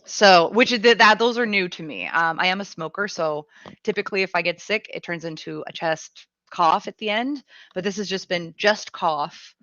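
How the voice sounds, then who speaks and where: A woman radio host who is sick with a cough, talking frankly and casually and swearing now and then. Her voice is bright and forceful but sits right on the mic, with a dry, dull, lo-fi sound. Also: fairly low pitch, normal speed, no room sound. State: sick with a cough and chest tightness. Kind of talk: casual chat reading listener comments. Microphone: close studio mic.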